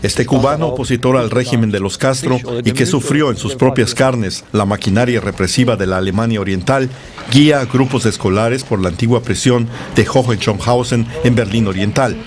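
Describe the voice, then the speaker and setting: A man gives a measured, scripted news narration. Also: mid-range voice, a crowd in the background. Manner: measured, formal delivery. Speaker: news reporter.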